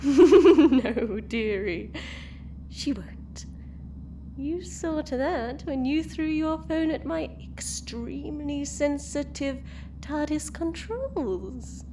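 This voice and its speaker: A woman's voice: high-pitched, expressive, very slow, noisy background, exaggerated voice. A woman voicing a cruel, mocking female time-travelling villain who taunts her captives in a sing-song, theatrical way. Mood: taunting. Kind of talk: fan audio drama acting.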